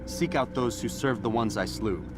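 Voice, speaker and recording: A man's voice: mid-range voice, moderate pace, over music, natural voice. A man playing a grim, determined assassin warrior in a video game, speaking in a terse and resolute way. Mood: resolute, urgent. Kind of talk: dramatic game cutscene acting.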